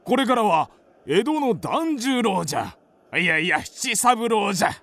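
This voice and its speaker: Wry kabuki troupe member, period radio drama. Theatrical, slow, wide swings in pitch. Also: male voice, big pitch swings, vocal fry, fairly bright tone, no room sound, exaggerated voice. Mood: teasing. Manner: theatrical, archaic speech. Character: wry, teasing old-time kabuki troupe actor.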